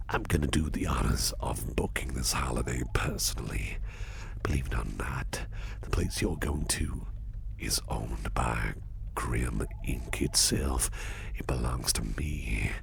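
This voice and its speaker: A man playing a suave, protective, slyly teasing businessman boss in an ASMR roleplay, speaking in a gravelly, breathy half-whisper with slow, deliberate phrasing. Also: very deep voice, a little hiss or hum, heavily acted. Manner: slow, deliberate, softly spoken with repeated phrases. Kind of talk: ASMR roleplay, personal attention.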